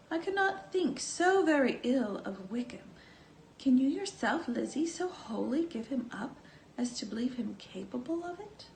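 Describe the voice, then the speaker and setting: Woman reading a novel w dialogue, high voice, roomy echo, faint noise behind. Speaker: volunteer audiobook reader. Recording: echoey room with faint background noise.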